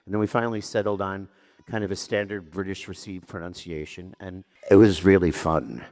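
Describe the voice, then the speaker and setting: A man's voice: low voice, fairly quick. A man on a panel telling a story about his casting, acting out the dialogue as he goes. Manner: animated storyteller, acts out dialogue. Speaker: actor panelist. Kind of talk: anecdotal panel talk.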